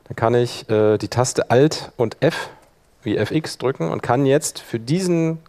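A man presenting a hands-on software workshop live, informally thinking aloud as he demonstrates each step. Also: mid-range voice, a little slow.

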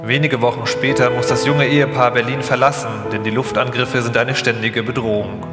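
A man's voice: mid-range voice, steady tone, fairly quick, music in the background. A man reading scripted narration as the narrator of a live documentary radio feature. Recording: echoey hall, live stage performance.

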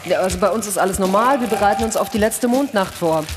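An actress in a staged radio play reading, playing a dutiful astronaut with a dry, matter-of-fact delivery. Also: female voice, mid-range voice, fast, over music, lightly acted. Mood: dry, wary.

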